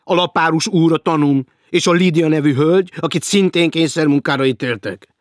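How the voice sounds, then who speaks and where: Man voicing a loud, indignant idler. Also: fairly high pitch, no echo, clean studio sound, voice pushed up, natural voice. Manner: loud and protesting. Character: indignant lazy man refusing work.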